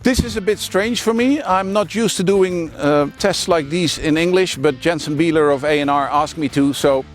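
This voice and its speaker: A Dutch-accented man in his forties talks frankly and casually, pitched a little high. He stops often on fillers and restarts. He is recorded outdoors on a clip-on mic, and faint music plays underneath. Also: normal speed, hardly any pauses. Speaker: motorcycle reviewer. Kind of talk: casual video review. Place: outdoors at a working mine site.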